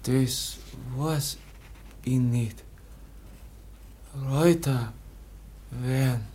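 An actor playing an exhausted, bewildered teenage foundling in a radio play, speaking in halting fragments. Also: male voice, mid-range voice, mellow. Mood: dazed, weary. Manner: halting, fragmented, repeating the same few words. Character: exhausted, bewildered foundling youth of seventeen, barely able to speak. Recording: noisy old radio play recording.

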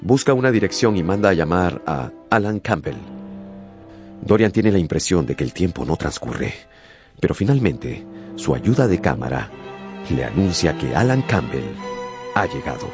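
A man narrating a radio drama in an intense, theatrical way with deliberate pauses. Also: deep voice, sing-song, over music.

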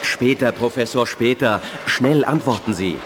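An actor playing a decisive, protective agent with an insistent, clipped manner in a 1980s radio drama. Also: male voice, medium pitch, unhurried, background noise, natural voice. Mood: commanding. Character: decisive male telepath agent, urgent and protective.

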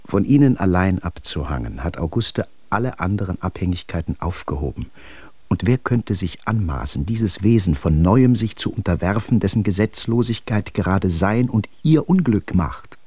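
An actor playing a measured, formal friend who admonishes by letter in a literary radio drama. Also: male voice, low-pitched, animated, warm tone, breathy edge, no echo. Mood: sober admonition. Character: measured, reproving male friend advising by letter.

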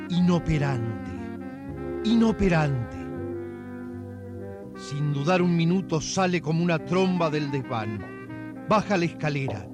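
A man narrating a radio serial in a dramatic scripted style. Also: fairly high pitch. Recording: music behind.

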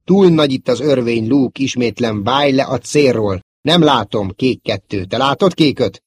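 A man's voice: no echo, natural voice. A man voicing a tense male squadron commander barking urgent orders in a space battle audiobook. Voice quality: deeper voice.

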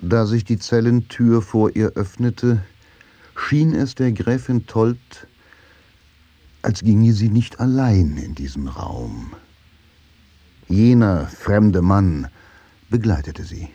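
Slow, deep-voiced male drama narrator. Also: animated, mellow, dry recording, lo-fi sound. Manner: measured, brooding, intense. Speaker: narrator of a radio play.

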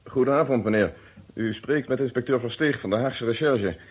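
An actor playing a methodical police inspector in a vintage radio play, with formal, precise phrasing and a Netherlands Dutch accent. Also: male voice, medium pitch, moderate pace, breathy edge, lightly acted. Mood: polite, official. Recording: dull, muffled old radio recording.

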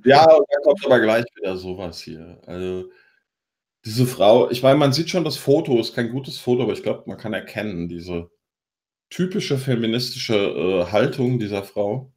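A man co-hosting a podcast, commenting on news in a halting way as he reads from his notes. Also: fairly low pitch, lively intonation, a little mellow. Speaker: podcast co-host.

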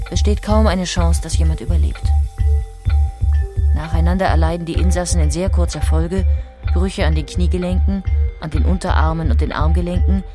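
Female documentary narrator, lower register, factual measured read, clear music bed. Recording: music behind the voice.

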